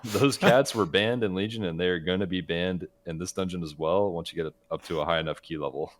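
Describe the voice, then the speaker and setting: A man's voice: deep voice, a little fast. A man co-hosting a gaming podcast, talking in a casual, conversational way. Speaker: podcast co-host.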